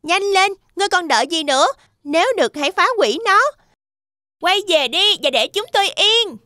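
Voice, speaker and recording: A woman's voice: extremely high pitch, animated, brisk, pushed and bright, no room sound, studio quality, exaggerated voice. A woman voicing an earnest, brave little boy pig, the youngest brother, in a children's fairy tale cartoon. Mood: defiant.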